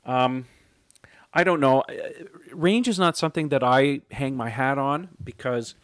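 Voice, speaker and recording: A man's voice: medium pitch, a little slow, no room sound, studio quality. A man in his thirties hosting a casual podcast, reading listener questions in a chatty way with lots of ums and laughs. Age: 30s. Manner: chatty, laughs often, frequent fillers.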